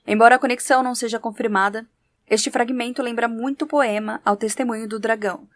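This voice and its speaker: Woman acting as a dry-sounding, higher-pitched researcher. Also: steady tone, quick pace, no room sound, close to an everyday voice. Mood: formal. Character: woman research doctor reading her analytical notes. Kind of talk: scripted audio drama narration.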